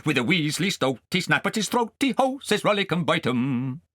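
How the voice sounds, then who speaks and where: A man voicing a sly, genial fox in a storybook, with hearty old-fashioned gentlemanly patter. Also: fairly high pitch, sing-song, a little fast, no echo, studio quality, pitched above the performer's own voice, full character voice. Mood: gleeful, sly. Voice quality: unpleasant singing voice. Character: sly fox, genial bachelor host and gleeful poacher.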